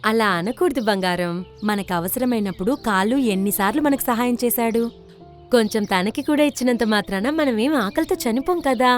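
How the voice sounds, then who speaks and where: A woman's voice: a little high, normal speed, natural voice. A woman voicing a caring, sensible mother bird in a children's cartoon story. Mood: gentle, reassuring. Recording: background music under the voice.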